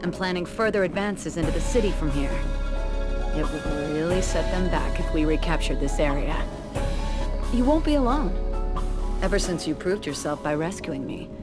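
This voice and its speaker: An actress playing a determined resistance fighter in a video game, briefing recruits in a purposeful, businesslike way. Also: female voice, lowish voice, animated, normal speed, close to an everyday voice. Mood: encouraging. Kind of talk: video game cutscene acting. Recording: loud music behind the voice.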